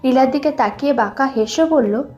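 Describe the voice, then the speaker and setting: A woman's voice: high-pitched, moderate pace, dark tone, lo-fi sound, faint music behind. A woman reading scripted romantic fiction as a storyteller. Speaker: narrator.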